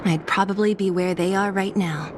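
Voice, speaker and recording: An actress playing a tough, no-nonsense young resistance fighter in a game cutscene, direct and matter-of-fact. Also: female voice, medium pitch, fairly even intonation, normal speed, background noise, natural voice. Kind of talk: video game cutscene acting.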